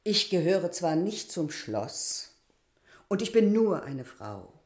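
A woman's voice: on the low side, sing-song, slow pace, darkish tone. Proud, domineering innkeeper woman. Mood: proud, aggrieved. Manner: emphatic, formal, lecturing. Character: proud, domineering village innkeeper woman, weary and self-important. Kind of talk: literary radio drama acting.

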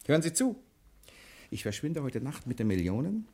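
An actor playing a brash, quick-witted bank cashier with a scheme, sardonic and theatrical, in 1960s German radio drama style. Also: male voice, medium pitch, big pitch swings, mellow, husky. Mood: smug, explaining. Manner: sardonic, theatrical, domineering, with emphatic dramatic pauses.

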